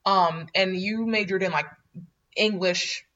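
A woman's voice: mid-range voice, steady tone, slow pace, a bit bright, dull audio. A woman in her early twenties chatting casually as a podcast co-host, blunt and full of fillers and laughs. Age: 20s. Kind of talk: casual chat.

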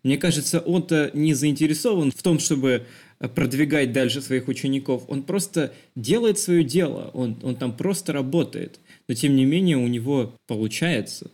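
Guy on a film podcast, normal pitched voice, thinking out loud and explaining stuff to listeners. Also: normal speed, breathy edge. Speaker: podcast co-host. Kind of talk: casual film discussion.

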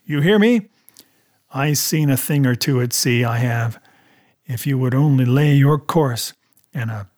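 A man's voice: medium pitch, a little mellow, lightly acted. A man voicing a cunning, commanding pirate cook with emphatic, rustic seafaring speech in an adventure audiobook. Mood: exasperated, forceful.

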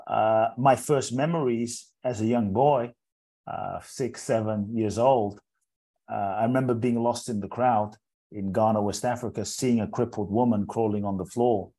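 A man in his forties who grew up in England, a speaker telling his life story as an interview guest in an earnest, measured way, often repeating words as he builds a thought. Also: lowish voice, fairly even intonation, warm tone. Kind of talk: reflective personal storytelling in an interview.

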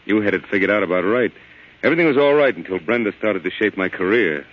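A man's voice: fairly low pitch, animated, quick pace. A man playing a weary, troubled husband in a 1940s American radio drama, speaking in a subdued, hesitant, polite way. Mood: rueful. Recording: muffled old-time radio recording.

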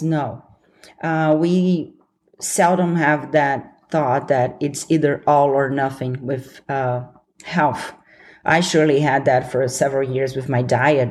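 A woman's voice: low-pitched, darkish tone. A young adult woman from Brazil with a Brazilian accent, hosting a solo podcast in an earnest, encouraging way and laughing easily. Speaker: podcast host. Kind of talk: solo motivational podcast talk.

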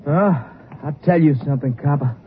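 An actor playing a stubborn, whining small-time crook in a 1950s radio drama, indignant and rough-spoken. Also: male voice, fairly high pitch, normal speed, natural voice. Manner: indignant, uneducated speech. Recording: tinny, noisy old radio recording.

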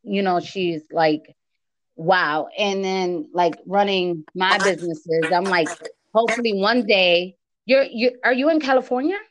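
A woman from Connecticut with an East Coast accent, hosting a casual chat in an excitable way, with restarts and laughs. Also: mid-range voice, unhurried, slight background noise. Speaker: host.

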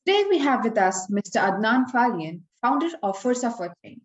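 Young woman, from India, podcast co-host, middle pitch, scripted reading, echoey room. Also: big pitch swings, normal speed, lo-fi sound. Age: young adult. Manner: reads a scripted introduction. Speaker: podcast co-host, university student. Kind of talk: podcast interview hosting. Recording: roomy echo.

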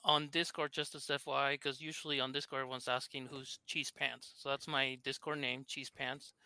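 Argentine-accented man with a bright, even voice. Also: mid-range voice, smooth, dry recording. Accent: Argentine Spanish. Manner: halting, many fillers. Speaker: game developer guest. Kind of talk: casual show interview.

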